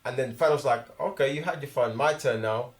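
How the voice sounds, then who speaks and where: A man with a London British accent chatting about films in an excitable, emphatic, slangy way, repeating his phrases. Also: mid-range voice, fairly quick. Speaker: film review panellist. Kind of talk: casual film chat. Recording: echoey room sound.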